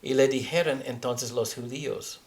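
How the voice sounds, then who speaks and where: A man with a foreign accent, a native English speaker, teaching scripture haltingly as he searches for words. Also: mid-range voice. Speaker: religious teacher. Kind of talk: Bible teaching with scripture reading.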